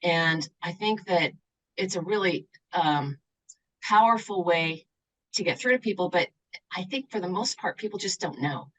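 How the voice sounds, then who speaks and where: A woman's voice: on the low side, animated, moderate pace, a bit bright, rough recording. A woman from the Seattle area who works as a university accessibility coordinator, answering interview questions in an earnest, reflective way with some fillers.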